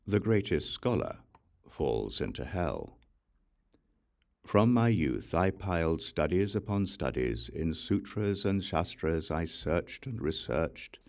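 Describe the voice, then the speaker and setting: A man reading an audiobook of sacred verse in a solemn, deliberate recitation with measured phrasing and pauses. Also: rumbling low, creaky, darkish tone. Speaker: audiobook reader.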